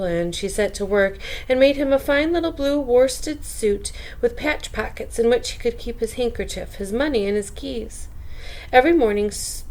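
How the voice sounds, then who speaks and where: A woman reading a children's book aloud as a bedtime storyteller, expressive, with chatty asides to young listeners. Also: medium pitch, low-quality recording.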